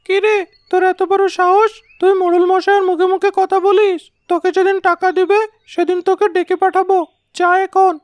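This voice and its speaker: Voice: extremely high pitch, level tone, brisk, no room sound. A performer voicing a bossy, scornful henchman of a village headman in a cartoon. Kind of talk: cartoon voice acting.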